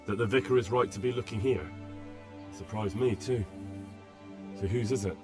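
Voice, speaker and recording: An actor playing a young, pragmatic medieval investigator in a video game, plain-spoken and full of direct questions. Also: male voice, fairly low pitch, normal speed, natural voice. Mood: businesslike. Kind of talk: video game cutscene acting. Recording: clear music behind the voice.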